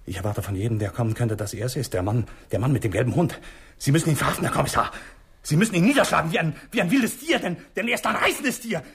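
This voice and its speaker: A man's voice: fairly high pitch, animated, fast, husky, heavily acted. An actor playing a terrified man in a radio crime drama, stammering frantically as he pleads for protection. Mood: fearful. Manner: stammering, frantic, breathless urgency. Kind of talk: 1960s German radio crime drama acting.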